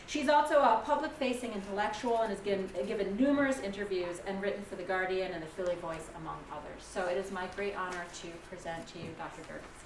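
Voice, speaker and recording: A woman's voice: mid-range voice, quick pace. A woman host reading a formal introduction from notes in a university lecture room, adding friendly asides. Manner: reads from notes, warm asides. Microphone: far camera. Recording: roomy, distant classroom audio with faint hiss.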